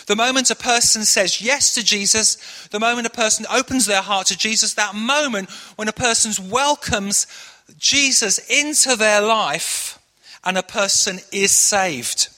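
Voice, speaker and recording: A middle-aged man from Manchester preaching a sermon as pastor, telling stories earnestly and dropping into a hushed prayer. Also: high voice, a little slow. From Manchester, England. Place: church hall. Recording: long echoey hall reverb.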